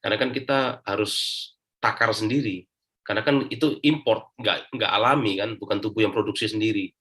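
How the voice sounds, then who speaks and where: A man with a fairly low voice and an Indonesian accent speaks as an interview guest. He gives his own account casually, explaining it step by step in colloquial speech. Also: steady tone, a little fast, fairly bright tone, slightly rough. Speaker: interview guest giving a personal testimonial. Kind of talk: casual spoken personal account.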